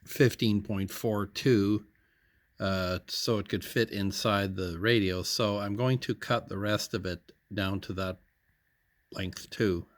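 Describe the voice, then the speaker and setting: A man giving an unscripted how-to narration as a hobbyist, matter-of-fact and hesitant, often pausing mid-sentence. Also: lowish voice, fairly even intonation, no echo. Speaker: hobbyist electronics repair demonstrator.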